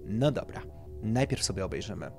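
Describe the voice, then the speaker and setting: Horror audiobook boss surgeon, male read by a man, coolly appraising, gravelly, lively intonation, music underneath. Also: lowish voice, moderate pace. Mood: pleased, businesslike. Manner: businesslike, instructing.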